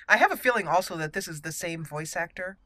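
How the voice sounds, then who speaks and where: A woman's voice: on the low side, expressive, brisk, bright, forceful tone, no echo. A woman hosting a gaming channel, giving casual, playful let's play commentary and talking to the characters. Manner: playful, talks to game characters.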